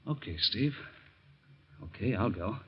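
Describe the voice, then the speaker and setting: An actor playing an earnest ex-convict and caring uncle in a 1940s radio drama. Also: male voice, fairly low pitch, unhurried, slightly rough, full character voice. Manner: earnest, expressive, tender then firm. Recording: muffled 1940s radio recording.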